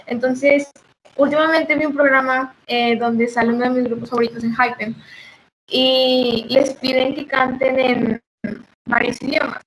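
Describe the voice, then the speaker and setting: A woman's voice: high voice, fairly even intonation, measured pace, slight background noise, poor audio. A woman, a guest chatting casually and hesitantly, with many fillers. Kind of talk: casual chat.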